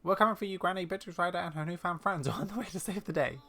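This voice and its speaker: A man's voice: high voice, racing, pushed and bright, pitched above the performer's own voice. A man voicing a plucky, curious young adventurer girl in a game, eager and dramatic. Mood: urgent, heroic. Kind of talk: game dialogue read aloud in character.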